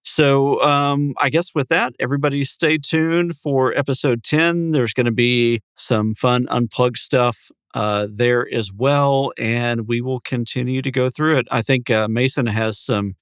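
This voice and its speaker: A man's voice: medium pitch, fairly even intonation, unhurried, hardly any pauses, fairly bright tone, clean tone, no echo, clean studio sound. A man co-hosting a podcast in a casual, conversational style. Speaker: podcast co-host.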